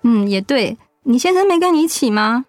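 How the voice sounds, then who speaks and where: An actress playing a kind, attentive middle-aged housewife talking in a radio drama. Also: female voice, on the high side, lively intonation, a little fast, dry recording, soft background music, lightly acted. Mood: polite, friendly. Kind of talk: radio drama acting, conversational.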